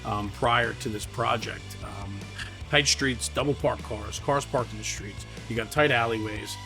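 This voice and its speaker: A man's voice: mid-range voice, measured pace. A man hosting a casual interview, speaking in an enthusiastic, conversational way. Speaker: host and interviewer. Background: light guitar music.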